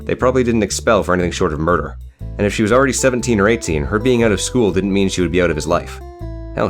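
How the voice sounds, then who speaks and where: A man reading scripted fiction as an audiobook narrator. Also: low voice.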